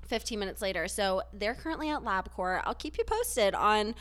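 A woman's voice: mid-range voice, lively intonation, normal speed, a bit bright. A young woman co-hosting a podcast, chatting casually and telling stories full of "like" and "um" while she is sick and congested. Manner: chatty, storytelling with lots of likes and ums. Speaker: podcast co-host. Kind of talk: casual chat.

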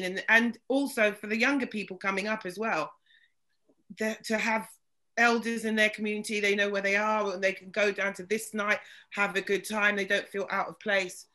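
A woman's voice: medium pitch, sharp tone. A woman hosting a casual video-call chat, talking loosely with lots of fillers. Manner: chatty, loose with many fillers. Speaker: host.

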